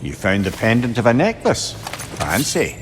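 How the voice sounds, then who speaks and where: An actor playing a wise male companion in a video game, with an expressive, gently probing, brotherly manner. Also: mid-range voice, moderate pace, slight background noise. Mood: inquisitive. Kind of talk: video game dramatic acting.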